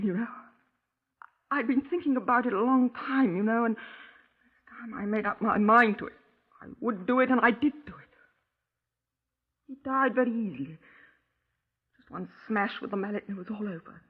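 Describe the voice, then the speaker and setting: An actress playing a distraught, self-pitying middle-aged wife making a halting, insistent confession in a 1950s radio crime drama. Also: female voice, medium pitch, breathy edge, lightly acted. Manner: halting, brooding, insistent, with rhetorical tag questions. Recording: muffled, lo-fi 1950s radio recording.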